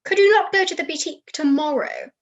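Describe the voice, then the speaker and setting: A woman's voice: extremely high pitch, fast, highs cut off. A young woman acting a prim, indignant puppet who is a stickler for procedure in an amateur puppet show.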